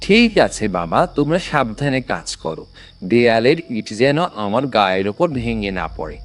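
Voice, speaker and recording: A man playing a pious, shrewd, kindly old man in a dramatic cartoon story, speaking in a deliberate, theatrical way. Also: medium pitch, very animated, lightly acted. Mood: mild, placating. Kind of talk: dramatic animated moral story.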